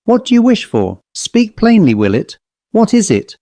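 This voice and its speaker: A man voicing a gentle but firm mistress of a household who presses her servant to speak plainly. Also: high-pitched, huge pitch swings, moderate pace, dry recording, voice pushed up, full character voice. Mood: coaxing. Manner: coaxing, then insisting on plain speech.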